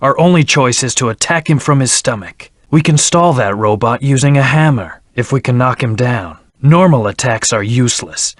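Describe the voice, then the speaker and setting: A man's voice: medium pitch, animated, moderate pace, hardly any pauses, dry recording, clean studio sound, lightly acted. A man voicing a brooding, stern hedgehog antihero in a video game, speaking in a terse, serious, controlled way. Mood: stern, focused. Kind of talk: video game voice acting, scripted hints and battle lines.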